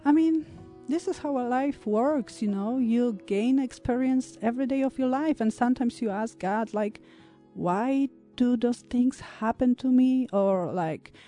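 A woman in her thirties from Poland, an English speaker, talking reflectively as an interview guest, with many pauses and fillers. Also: a little high, dark tone, soft background music. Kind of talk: conversational interview.

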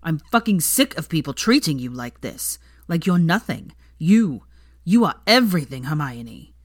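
Woman voicing a fierce, low, snarling young wizard. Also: big pitch swings, moderate pace, no room sound, clean studio sound. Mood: bitter, fierce. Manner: snarling, commanding, clipped. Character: fierce, protective, foul-mouthed young male wizard. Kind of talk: dramatic fan fiction audiobook reading.

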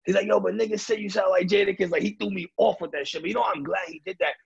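A man with a raspy voice talking casually in an interview, loose and streetwise, full of fillers and repeated starts. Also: high voice, fairly even intonation, rapid-fire, dry recording, highs cut off. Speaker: rapper and DJ interviewee.

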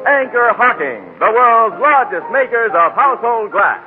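Male old-time radio announcer, polished, animated delivery, tinny recording with loud music behind. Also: very high voice, a little slow. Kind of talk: 1940s radio sponsor announcing.

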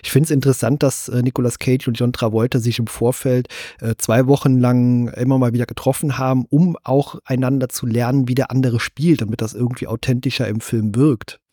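A man's voice: medium pitch, little pitch movement, brisk, hardly any pauses, warm tone, slightly rough, no echo, clean studio sound. A man hosting a podcast, explaining things conversationally in a casual film discussion. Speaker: podcast host.

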